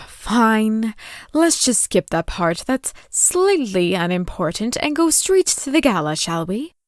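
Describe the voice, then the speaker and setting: A regal pony princess, played by a woman, speaks in a slightly high voice recorded very dry. She is a lovelorn older sister in an audio drama, wistful and theatrical, given to sighing. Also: big pitch swings, slow, no room sound. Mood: nostalgic. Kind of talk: scripted fan audio drama acting.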